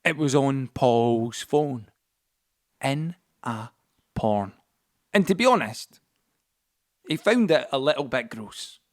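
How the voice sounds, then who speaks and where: A man's voice: mid-range voice, expressive, slow, pauses often. An actor playing a young Scottish man who tells a story in a confiding, colloquial way, as a dramatic monologue in an audio play. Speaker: actor-narrator. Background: music score.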